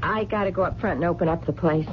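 An American actress playing a compassionate, stubborn working-class waitress with direct, slangy, wry talk in a 1970s radio drama. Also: female voice, fairly low pitch, fast, natural voice. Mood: friendly, brisk. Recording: dull, noisy vintage radio broadcast recording.

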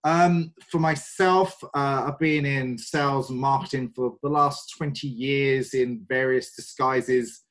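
A man in his forties with a London English accent speaks as a podcast guest. He talks slowly and thoughtfully, pausing often and filling the gaps with "um" and "uh." His voice sits at an ordinary middle pitch. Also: fairly even intonation, a bit bright. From North London, England. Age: 40s. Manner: hesitant, many fillers, thoughtful. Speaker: guest, sales and mind coach. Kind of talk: podcast interview.

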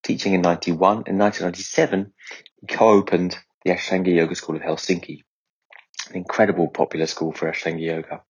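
A man's voice: low voice, flat delivery, fast talker, slightly rough, poor audio. A man presenting a podcast introduction as its host, in a scripted spoken style.

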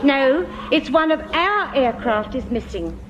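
Shrill-pitched, brisk English switchboard lady. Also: expressive. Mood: matter-of-fact. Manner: officious, matter-of-fact. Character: brisk, impatient female telephone operator. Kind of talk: British sitcom acting. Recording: muffled, noisy old radio recording.

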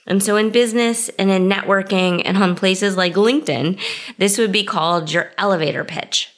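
A woman's voice: medium pitch, normal speed. A woman hosting her own podcast, talking through ideas in a conversational, reflective way and starting many sentences with "so". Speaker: podcast host. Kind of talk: solo podcast monologue.

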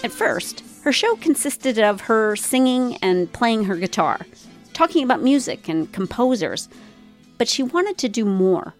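Calm woman narrating a radio documentary. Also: a little high, unhurried, faint music behind. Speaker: radio host.

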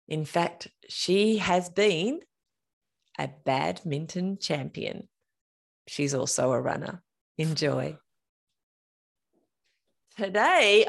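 A woman hosting a running podcast, chatting in a bubbly, animated way and laughing often. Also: low-pitched. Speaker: podcast host. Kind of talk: casual interview chat.

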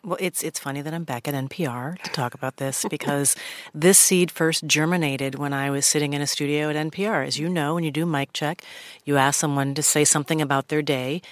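A woman journalist with a low voice, reminiscing in a radio studio interview. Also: steady tone, fairly quick, no room sound. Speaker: journalist and podcast host, as guest. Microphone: studio microphone.

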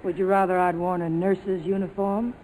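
A woman's voice: lowish voice, little pitch movement, normal speed, darkish tone, lightly acted. An actress playing a sardonic, guarded young woman in the cool style of 1940s radio drama. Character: hard-boiled sardonic young American woman, defiant and guarded. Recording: noisy old 1940s radio broadcast.

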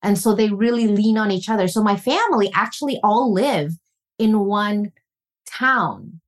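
A woman's voice: mid-range voice, expressive, a little slow. A woman relationship coach being interviewed, talking in an earnest, reflective way and telling family stories. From Filipino family, raised in North America. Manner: earnest, reflective, storytelling with warm laughs. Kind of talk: podcast interview conversation.

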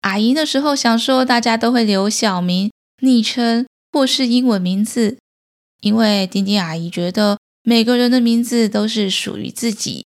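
一位女性儿童播客主持人，面向小朋友说话，讲解清楚易懂。. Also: female voice, medium pitch. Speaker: children's podcast host. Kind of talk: addressing young listeners, explanatory.

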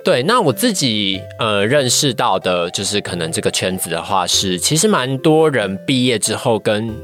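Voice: mid-range voice, very animated, normal speed, fairly bright tone. Thoughtful young Taiwanese speaker over music. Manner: thoughtful, careful, qualifying. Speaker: guest, voice-acting trainee. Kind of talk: casual podcast interview answers.

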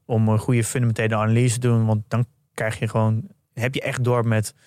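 A man's voice: mid-range voice, level tone, a little fast, darkish tone, no echo, studio quality. A man hosting a podcast, summing up points conversationally. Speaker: podcast host. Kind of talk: conversational summarising.

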